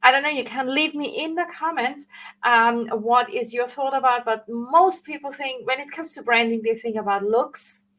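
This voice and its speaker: German-accented woman coaching with halting restarts. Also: fairly high pitch, fairly bright tone. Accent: Austrian German. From Austria. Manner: earnest, halting, frequent self-corrections and fillers. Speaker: branding coach. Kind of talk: live training talk.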